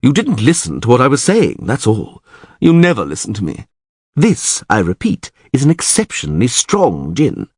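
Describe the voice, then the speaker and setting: A male reader acts out a know-it-all priest from a fantasy tale. He is pedantic and emphatic, with widely swinging pitch on a dry, close recording. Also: wildly swooping pitch, normal speed, darkish tone, slightly rough, dry recording. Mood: irritated explaining.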